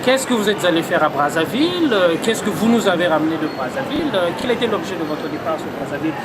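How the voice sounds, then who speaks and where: A man with a notably high voice asks pointed, probing questions, stopping often to hesitate. He is interviewing outdoors on a city street. Loud traffic and passing vehicles fill the recording behind him. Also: big pitch swings, fast. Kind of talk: political interview.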